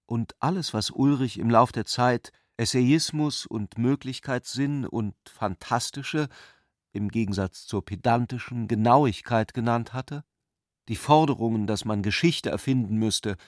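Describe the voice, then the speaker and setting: A man's voice: medium pitch, no echo. Dry, studio-close male literary narrator. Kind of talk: literary audiobook-style scripted narration.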